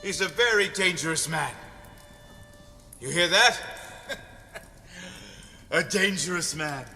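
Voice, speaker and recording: A man playing a desperate, embittered ex-convict, pleading in loud, agitated, insistent outbursts. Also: sits high, slow, in a large hall, soft background music, voice pushed up, heavily acted. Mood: bitter, defiant. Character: desperate, embittered male ex-convict begging for shelter.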